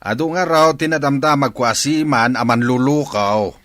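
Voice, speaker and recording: A man's voice: medium pitch, moderate pace, fairly bright tone, no room sound, lightly acted. A man playing a distrustful, grumbling middle-aged father who argues his way through a radio drama. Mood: suspicious. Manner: grumbling, argumentative.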